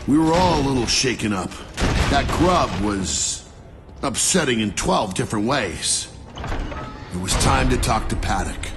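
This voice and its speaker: A man's voice: medium pitch, animated, unhurried. A man playing a wry army lieutenant in a video game cutscene, with a dry, sardonic manner. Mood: wry narrating. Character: wry young-ish military lieutenant, squad soldier. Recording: voice under very loud game music.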